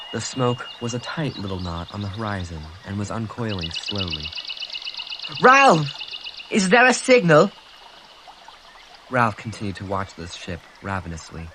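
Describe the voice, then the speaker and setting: A man reading a graphic novel aloud in a hushed, measured way. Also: deep voice, slight background noise. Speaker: reader.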